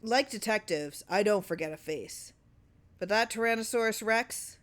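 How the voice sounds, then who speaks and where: A woman voicing an unperturbed, watchful man in a short story, drawling and musing in a measured way. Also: mid-range voice, voice pushed up, natural voice. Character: unperturbed, watchful male film man, musing over a memory.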